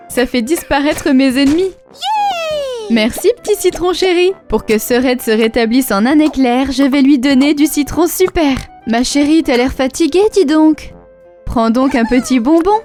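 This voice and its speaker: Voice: high voice, moderate pace, close to an everyday voice. A performer voicing a determined, caring lion doctor in a children's cartoon, thinking aloud in sing-song rhyme. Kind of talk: rhyming children's cartoon acting. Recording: music behind the voice.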